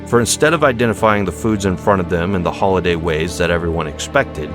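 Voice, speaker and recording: Deep-voiced man narrating over music. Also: fast. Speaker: audiobook narrator. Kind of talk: scripted dramatic story narration. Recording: music behind the voice. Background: background music.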